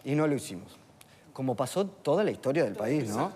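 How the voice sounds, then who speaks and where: A man, an economist explaining things in a measured, analytical way on a TV panel. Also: medium pitch, sing-song, in a large hall, crowd noise. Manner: measured, explanatory, with filler tags.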